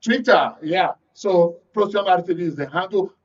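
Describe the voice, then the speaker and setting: A man's voice: high-pitched, normal speed, distant mic, highs cut off. A man with a Nigerian accent hosting a live panel discussion on a radio and TV talk show. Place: radio and TV studio.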